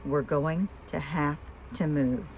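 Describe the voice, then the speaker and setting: A woman voicing a weary, caring father in a children's storybook, speaking gently and plainly. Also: sits low, takes it slowly, a little hiss or hum, poor audio. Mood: worried, sorry.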